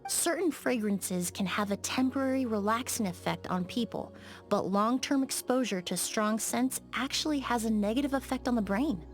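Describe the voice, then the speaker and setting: An actress playing a polite, precise young woman perfumer in a video game, speaking in a measured, articulate and frank way. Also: a little high, soft background music, close to an everyday voice. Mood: matter-of-fact. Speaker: game character voice actor. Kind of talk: scripted video game dialogue.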